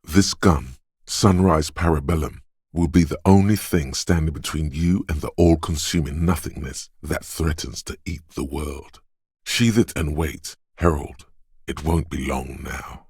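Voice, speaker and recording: A man voicing an inner voice of physical instinct in a video game, advising and narrating in a deliberate, precise, instructing way. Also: very deep voice, darkish tone, husky, no room sound, studio quality, exaggerated voice. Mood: grave, ominous. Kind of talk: video game voice-over, second-person narration.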